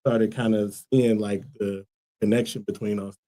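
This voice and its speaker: A man's voice: fairly low pitch, little pitch movement, moderate pace, mellow. Talk show guest: a man from Liberia who speaks earnestly and thoughtfully, using plenty of "like" and "um". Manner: earnest, thoughtful, many fillers like "like" and "um". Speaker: guest, school co-founder. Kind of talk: casual interview answers.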